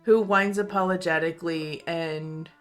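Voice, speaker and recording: Female game master for tabletop roleplay, improvised scene narration, very measured pace, faint music behind. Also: lowish voice, steady tone.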